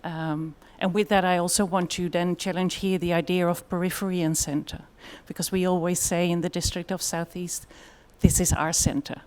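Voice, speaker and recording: A woman's voice: lowish voice, little pitch movement, moderate pace, few pauses, darkish tone. A woman with a Dutch accent giving a conference presentation in a thoughtful way, with frequent fillers. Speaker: conference speaker.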